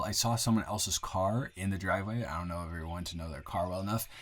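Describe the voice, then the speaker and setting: A man playing a friendly young newcomer to town, chatting casually and haltingly with a close friend in an improvised roleplay audio. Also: on the low side, a bit bright. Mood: casual, hesitant. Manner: rambling, halting, self-correcting, conversational. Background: bird and outdoor ambience sound effects.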